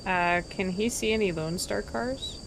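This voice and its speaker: A woman's voice: mid-range voice, measured pace, gravelly. A woman casually narrating her character's actions as a player in a tabletop role-playing game. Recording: noisy track with cricket ambience.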